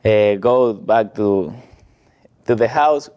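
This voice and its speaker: A man in his twenties from Ecuador, speaking English with a Spanish accent as a guest, telling his story slowly and haltingly with little laughs. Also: on the low side, clean tone, dull audio. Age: 20s. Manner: slow, halting non-native English with frequent laughs. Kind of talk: casual interview, telling his life story.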